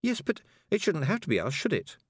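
A man's voice: a little high, huge pitch swings, a little fast, breathy edge, no room sound, studio quality, voice pushed up, heavily acted. A man voicing an earnest, headstrong young girl apprentice witch, questioning and a bit sarcastic.

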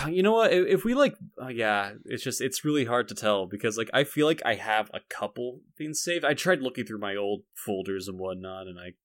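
A young man co-hosting a podcast, reminiscing casually in a halting, rambling way with frequent repeated words. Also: mid-range voice, expressive, a bit bright, dry recording. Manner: halting, repetitive, rambling delivery. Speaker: podcast co-host.

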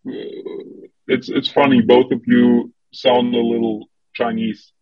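A man's voice: medium pitch, level tone, slow pace, husky, dull audio, faint music behind. A man chatting bluntly and hesitantly on a video call. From Germany. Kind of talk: casual chat on a video call.

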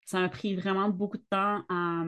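A young woman co-hosts a relaxed conversation at a medium pitch. She speaks candidly and personally, and grows animated when she quotes other people. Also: moderate pace, clean tone. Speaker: podcast co-host. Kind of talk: casual conversation.

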